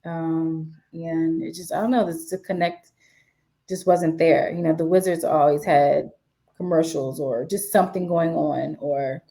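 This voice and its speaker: A woman's voice: deep voice, fairly even intonation, measured pace, warm tone. A woman in her twenties from the DC area, chatting casually as an interview guest with many fillers and restarts. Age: 20s. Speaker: guest, sports media writer.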